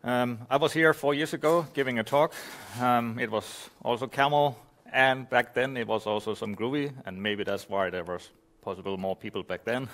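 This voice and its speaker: Man giving a tech talk. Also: lowish voice. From Denmark. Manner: relaxed, explanatory, many "you know" fillers. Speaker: conference speaker. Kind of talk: technical conference talk. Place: conference room.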